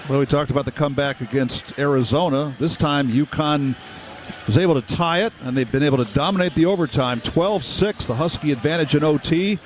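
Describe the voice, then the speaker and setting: Slightly high-voiced male basketball analyst. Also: brisk, a little hiss or hum. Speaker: color analyst. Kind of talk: live sports radio analysis, recapping stats.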